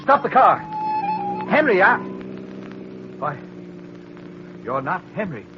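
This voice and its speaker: An actor playing a pompous, indignant governor in a declamatory 1930s radio style. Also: male voice, sits high, very animated, slow pace, over music, exaggerated voice. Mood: mounting panic. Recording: noisy, muffled 1930s radio recording.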